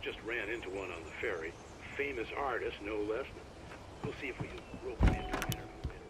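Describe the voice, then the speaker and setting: An actor playing a folksy small-town radio host in a video game, with casual local patter. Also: male voice, fairly low pitch, animated, fast, very noisy, close to an everyday voice. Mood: easygoing.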